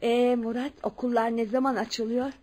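An actress playing a wealthy, kindly widow near seventy in a Turkish radio drama, frank and dignified. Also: female voice, on the high side, little pitch movement, moderate pace, mellow, natural voice. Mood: friendly. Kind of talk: Turkish radio theatre acting.

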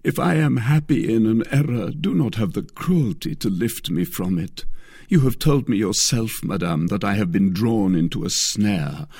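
A man's voice: fairly low pitch, lively intonation, normal speed, creaky, a little mellow, slightly rough, dry recording, clean studio sound, full character voice. A man voicing an ardent lovestruck nobleman, declaiming passionately in a dramatic audiobook reading. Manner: impassioned, declamatory.

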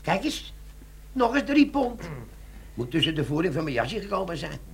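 An actor playing a wily, brazen army sergeant, a chummy smooth talker in a comic radio play. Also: male voice, fairly high pitch, big pitch swings, normal speed, a bit bright, heavily acted. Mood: feigned innocence. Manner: cheeky, chummy, feigned innocence.

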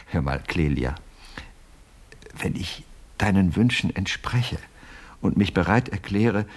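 A man's voice: low-pitched, lively intonation, slightly rough, exaggerated voice. Scheming, hot-headed businessman. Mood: wheedling. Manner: wheedling and self-important, quick to exasperation. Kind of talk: radio play acting.

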